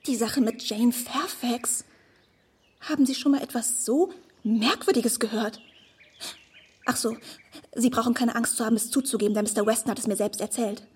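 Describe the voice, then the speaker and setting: A woman playing a naive, chatty, wide-eyed young woman in a period radio drama, gushing and confiding in a very breathy voice. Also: a little high, moderate pace, pauses often. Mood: astonished. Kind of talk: radio drama acting of a literary classic.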